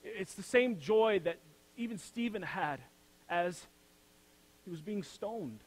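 A young man delivers a Sunday sermon from the pulpit of a church sanctuary. His voice sits fairly high for a man. He moves between forceful, rising proclamations and quiet, dropped-down phrases. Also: expressive, slow, breathy edge, poor audio. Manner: builds to loud emphatic peaks, then drops to soft low phrases. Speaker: pastor.

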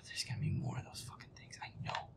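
A man playing a feisty, profane young werewolf boyfriend muttering jumpily through a scary video game in a breathy, hushed voice. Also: natural voice. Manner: profane, irritable, jumpy muttering. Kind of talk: improvised-sounding gaming commentary, audio roleplay.

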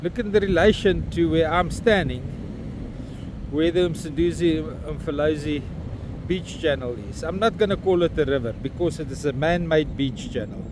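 A man's voice: on the high side, normal speed. Blunt South African man ranting outdoors. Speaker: vlogger. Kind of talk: outdoor walking vlog. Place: windy beach at an estuary mouth, outdoors. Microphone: phone camera held at arm's length. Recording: wind and outdoor noise.

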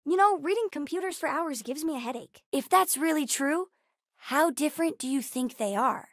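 A woman voicing a bright, curious, bookish young girl in a space video game, chatty and earnest in a childlike way. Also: high-pitched, animated, normal speed, dry recording, clean studio sound. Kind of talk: video game character dialogue.

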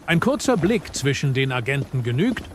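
A man's voice: medium pitch, very animated, moderate pace, background noise. A man narrating an action audio drama in a scripted, dramatic storytelling manner. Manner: dramatic, present-tense storytelling. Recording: loud film music and vehicles behind. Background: loud music, vehicle sounds.

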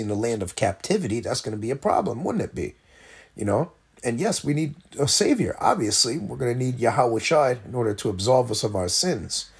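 A man teaching scripture in a loose, rambling street style, slowing down to read verses aloud. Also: mid-range voice, creaky, slightly rough. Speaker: street preacher.

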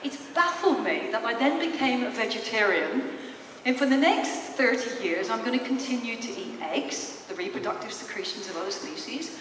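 A woman's voice: fairly high pitch, lively intonation, normal speed, a bit bright. Echoey, distant woman speaking in a hall. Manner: conversational, storytelling, addresses listeners with questions. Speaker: speaker, psychologist presenting. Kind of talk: informal public talk to an audience. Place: large theatre hall, in front of stage. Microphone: handheld mic through PA, captured by distant camera. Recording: echoey hall from far off, noisy.

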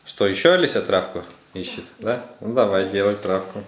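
A man's voice: on the low side, moderate pace. A man chatting casually as he guides young children, encouraging and instructive, often checking with short questions. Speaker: father filming children's craft activity. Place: small furnished room at home. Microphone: camera mic, speaker off screen. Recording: roomy indoor echo.

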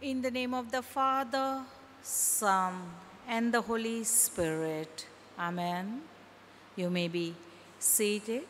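A middle-aged woman pastor from India preaching a sermon at a pulpit, slow and deliberate, with pauses and rising emphasis. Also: a little high, fairly bright tone, a little hiss or hum. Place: large church hall, at a pulpit. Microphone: stand mic at the lectern. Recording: church hall reverb with faint ambience.

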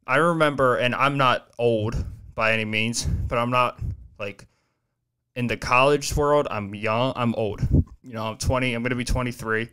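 A man's voice: medium pitch, moderate pace, pushed and bright, clean tone. A man of twenty-two hosting his own podcast solo, rambling casually and swearing freely, recorded on a desk mic in a small home studio. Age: 20s. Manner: rambling, loose and profane, self-reflective. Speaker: podcast host. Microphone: desk mic on boom arm, headphones on.

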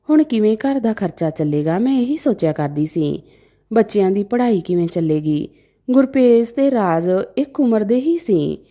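A woman's voice: a little high, mellow. A woman narrating a scripted family story in the first person, in a dramatic storytelling style.

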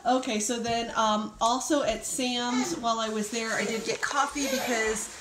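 A chatty, upbeat woman in her thirties runs through a grocery haul at home, her voice sitting a little high. The room is echoey and noisy, picked up by a handheld phone. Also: steady tone, normal speed. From Ohio, United States. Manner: chatty, upbeat, price-by-price rundown. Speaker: vlogger showing a grocery haul. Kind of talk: casual chat to camera. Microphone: phone camera held in hand. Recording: echoey room, background noise.

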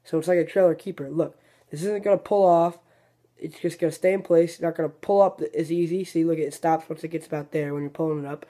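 A man's voice: fairly high pitch, fast. A man hosting a fishing video, casually demonstrating a tackle trick step by step and often restarting his sentences. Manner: relaxed, rambling, self-correcting explanations.

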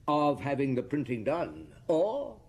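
An actor playing a shrewd, methodical chief inspector in a radio drama, probing and deliberate with a husky voice. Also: male voice, fairly high pitch, very animated, a little mellow, full character voice. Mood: accusing, sardonic. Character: shrewd, methodical male police chief inspector laying out accusations. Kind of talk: BBC radio drama acting.

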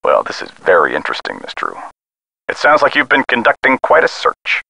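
Game role: skeptical, weary American cop on the phone, mid-pitched, hard-edged, folksy and condescending. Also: male voice, huge pitch swings, a little fast, creaky, pushed and bright, slightly rough, full character voice. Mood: placating. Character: dismissive, weary male police sergeant, skeptical and patronizing. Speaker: police missing-persons officer. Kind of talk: video game voice acting.

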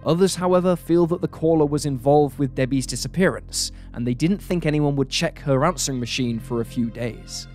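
Measured male true crime narrator over music. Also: fairly high pitch, a little mellow. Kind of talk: scripted narration. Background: background music.

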